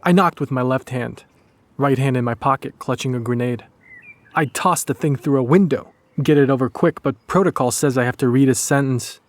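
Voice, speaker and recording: A man's voice: mid-range voice, normal speed, no room sound, close to an everyday voice. A man playing a bitter, brooding Polish resistance executioner narrating his wartime journal in a dramatic audiodrama. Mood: grim, tense.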